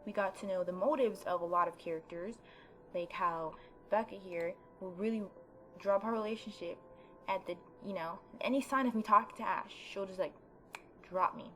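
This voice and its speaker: A woman's voice: medium pitch, moderate pace, pushed and bright, a little hiss or hum, low-quality recording. A woman hosting a casual let's play, giving informal, opinionated commentary. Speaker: gaming video host.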